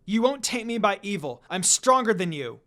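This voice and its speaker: A man acts out a young pregnant priestess in a storybook-style reading. She is defiant and fiercely protective, with a raised, fairly high-pitched delivery. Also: sing-song, moderate pace, pushed and bright, soft background music, heavily acted. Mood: defiant, outraged.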